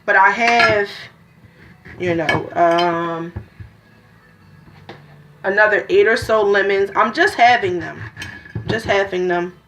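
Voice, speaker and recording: A woman walks viewers through a juicing routine in her home kitchen. Her voice sits at a middle pitch and wanders, full of ums and restarts. She moves closer to and farther from the camera as she works. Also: slow, long pauses, a bit bright, a little hiss or hum, poor audio. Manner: rambling, many ums and restarts. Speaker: vlogger. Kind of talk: casual kitchen demonstration.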